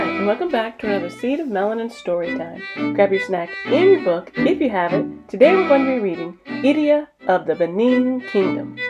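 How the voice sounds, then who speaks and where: A woman's voice: medium pitch, muffled recording. A woman narrating a children's picture book as a storytime host, reading expressively.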